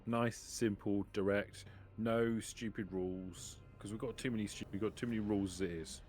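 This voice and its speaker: Very deep-voiced blunt man. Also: fairly even intonation, normal speed, darkish tone, soft background music. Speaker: tabletop game player. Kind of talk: in-character table talk.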